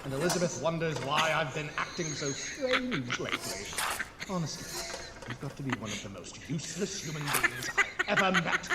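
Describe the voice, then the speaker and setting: A man's voice: fairly high pitch, very animated, moderate pace. A man playing a pompous, irritable young mad scientist in a comedic horror audio drama, theatrical and easily exasperated. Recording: noisy, echoing hall reverb, clicks.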